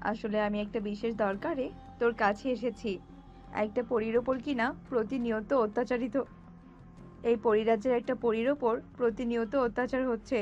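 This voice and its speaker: A woman's voice: a little high, muffled recording, natural voice. A woman voicing a protective elder fairy mother in a cartoon, righteous and resolute, with a motherly manner. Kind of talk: cartoon voice acting.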